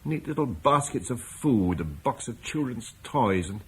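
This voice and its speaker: An actor playing a gentle, troubled Roman landowner and father, speaking reflectively in a vintage radio drama. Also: medium pitch, lively intonation, measured pace, a little hiss or hum. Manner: reflective, soft-spoken, haunted.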